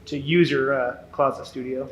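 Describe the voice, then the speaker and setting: A man's voice: mid-range voice, fairly even intonation, moderate pace. A man casually putting a question at a panel. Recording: echoey, dull, noisy room sound.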